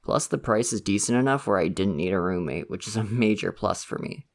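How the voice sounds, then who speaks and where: A man's voice: fairly low pitch, lively intonation, fairly quick, vocal fry. A young man voicing a friendly, slightly shy college student in an ASMR roleplay, talking casually and laughing often. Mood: easygoing chatty. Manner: casual, laughs often, soft-spoken and chatty.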